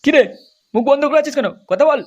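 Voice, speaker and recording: A man doing a brash, high-pitched cartoon village guy. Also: quick pace, noisy background. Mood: angry scolding. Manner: brash, foul-mouthed, exaggerated. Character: crude, quarrelsome village men in adult comedy cartoon. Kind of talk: exaggerated comedy cartoon voice-over.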